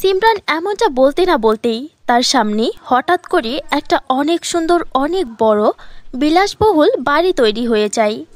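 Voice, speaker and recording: A woman's voice: high voice, animated, a little slow, dry recording. A woman reading scripted fairy-tale narration for a cartoon, as a storyteller.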